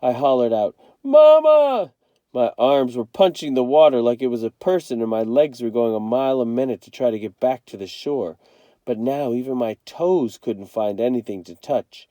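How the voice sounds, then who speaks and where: A man reading a children's novel aloud in an expressive, dramatic storytelling style. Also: medium pitch, normal speed, no echo. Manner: dramatic, acts out the dialogue. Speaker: read-aloud reader, teacher.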